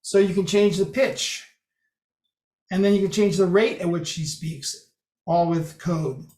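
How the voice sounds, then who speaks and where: A presenter walks a group through a code demo, talking loosely and correcting themselves as they go. The voice sits at a middling pitch. A roomy echo from the meeting room hangs on every word. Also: fairly even intonation, measured pace, a little mellow. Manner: explanatory, loose, with restarts and self-corrections.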